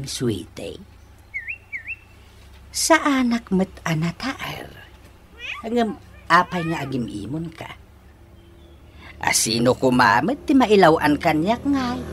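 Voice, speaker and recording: Casting: vain middle-aged Ilocano husband, comic radio drama, slow drawl, huge pitch swings, noisy recording. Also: male voice, very animated, fairly bright tone, full character voice. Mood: doting, flattered. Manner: exaggerated, drawling, prone to gasps and giggles. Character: vain, giggly, doting father and flirtatious husband. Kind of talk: comedic radio drama acting.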